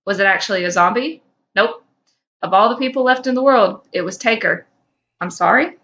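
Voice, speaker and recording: A woman's voice: mid-range voice, normal speed, highs cut off, noisy background. A woman co-hosting a podcast, speaking informally, whether reading fan fiction aloud or bantering. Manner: informal, teasing, profane. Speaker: podcast co-host.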